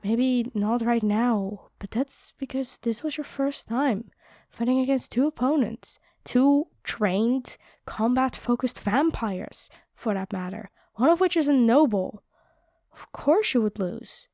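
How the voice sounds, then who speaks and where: A woman playing a kind, encouraging vampire fighter in a roleplay audio drama, speaking gently and earnestly. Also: a little high, slow pace, no room sound, studio quality. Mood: worried, soothing.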